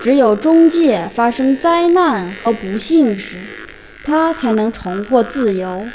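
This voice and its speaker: A woman narrates a folk epic for an animated film as a scripted story, in a measured, formal reading. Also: a little high, a little hiss or hum, rough recording. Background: music.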